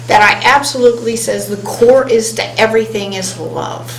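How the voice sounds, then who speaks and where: A woman on a hypnosis conference panel, speaking earnestly and reading an inspirational passage aloud, slowing down on key words. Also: a little high. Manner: earnest, inspirational, speeds up and slows for emphasis. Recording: roomy hall sound, faint hum.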